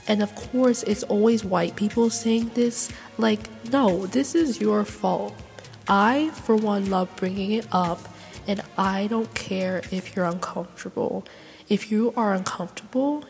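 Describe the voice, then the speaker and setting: A woman's voice: mid-range voice, a little mellow, big-room sound. A young woman with a congested voice, sick with a cold, speaking outspokenly in a solo class podcast reflection. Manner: outspoken and opinionated. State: congested from illness. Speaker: education student.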